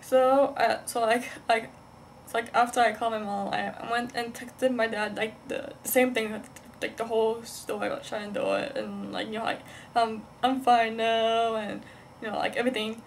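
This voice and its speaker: A young woman with a fairly high voice talks casually over some background noise, like an amateur vlog. She rambles and stops and starts, padding nearly every phrase with "like". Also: level tone. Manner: halting, heavy use of "like", rambling. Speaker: vlogger. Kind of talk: casual rambling chat to camera.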